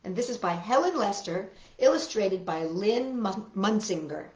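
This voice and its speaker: A woman hosting a children's storytime, reading picture books aloud with expressive, exaggerated delivery. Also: mid-range voice, normal speed. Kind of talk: animated picture-book read-aloud and sing-along for young children. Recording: roomy echo with guitar music.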